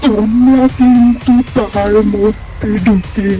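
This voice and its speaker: A woman's voice: a little high, steady tone, takes it slowly, dark tone, soft background music, low-quality recording. A woman voicing an older village man in a children's cartoon, folksy and emphatic. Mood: warning, stern. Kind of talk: children's cartoon story acting.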